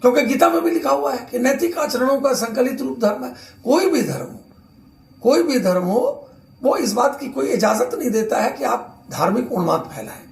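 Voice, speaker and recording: A man's voice: very high-pitched, animated, moderate pace, vocal fry. A man giving an emphatic political sound bite, repeating phrases for weight. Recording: echoey room.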